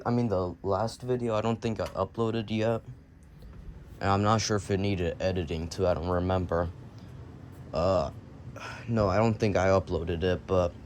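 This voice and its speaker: A young man with a cold, his voice deep and raspy, rambling casually as he films a tour of his home basement. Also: a little mellow, slight background noise. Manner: rambling, repeats and restarts as he shows things. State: sick, coughing. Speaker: vlogger.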